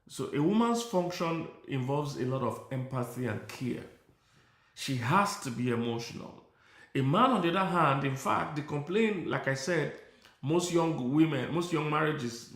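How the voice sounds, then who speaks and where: A middle-aged man preaching in a loose, conversational way, his voice gravelly and low-set. The room rings with echo around him. He teaches casually and drifts in and out of asides. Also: fairly bright tone. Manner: conversational, stops to talk to his tech team. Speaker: pastor. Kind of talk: sermon teaching.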